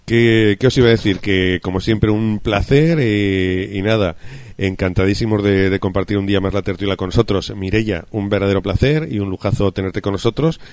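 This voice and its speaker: A man's voice: on the low side, moderate pace, a little mellow, dry recording. A man with an accent from Spain, hosting a casual radio football discussion in an effusive, colloquial way.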